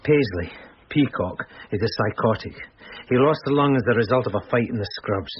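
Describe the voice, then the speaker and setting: An actor playing a hungover, apologetic police detective sergeant in a radio drama, halting and deferential. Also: male voice, mid-range voice, animated, close to an everyday voice. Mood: earnest, muddled. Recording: dull lo-fi radio audio, faint noise.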